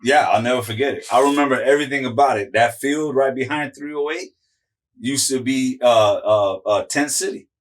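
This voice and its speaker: A man's voice: medium pitch, moderate pace, far from the mic. A man in his forties from Miami, a guest telling stories in a loose, rambling way with lots of fillers and slang. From Miami, Florida. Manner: rambling, many fillers and restarts, profane slang. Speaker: interview guest, musical artist. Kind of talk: casual chat, storytelling.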